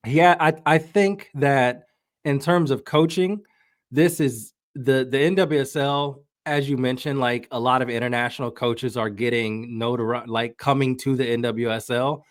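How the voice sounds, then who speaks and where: A man's voice: mid-range voice, fairly even intonation, unhurried, no echo, studio quality. A man talking casually as a podcast guest, giving opinionated soccer analysis and thinking aloud as he goes. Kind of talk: casual sports analysis in conversation.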